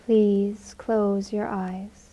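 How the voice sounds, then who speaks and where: A woman leading a guided meditation in a gentle, soothing manner, leaving long unhurried pauses. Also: medium pitch, flat delivery.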